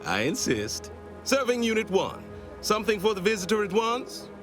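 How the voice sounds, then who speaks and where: Florid, swooping pompous official. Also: male voice, high voice, very animated, moderate pace, music in the background. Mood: effusive, grandiose. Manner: florid, formal, grandiose politeness. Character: effusive, pompous male official welcoming a stranger. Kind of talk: theatrical video game character dialogue.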